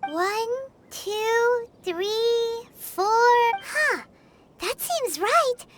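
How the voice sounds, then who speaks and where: Tiny high squeaky fairy girl. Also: expressive, extremely slow, a little hiss or hum, full character voice. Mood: curious. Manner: childlike, inquisitive, exclaiming. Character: small, curious, excitable girl fairy companion. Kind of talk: English video game voice acting. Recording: loud music under the voice.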